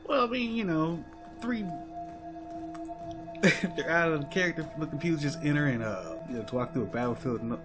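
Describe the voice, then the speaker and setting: A male game streamer chats loosely and casually. His voice sits a bit high, with music playing behind it. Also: animated, normal speed. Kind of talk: casual off-the-cuff commentary.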